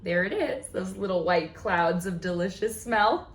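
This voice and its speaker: A woman's voice: mid-range voice, expressive, a little slow. A woman hosting a children's storytime, reading a picture book aloud expressively, with questions and exclamations of wonder for young listeners. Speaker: children's library storytime host.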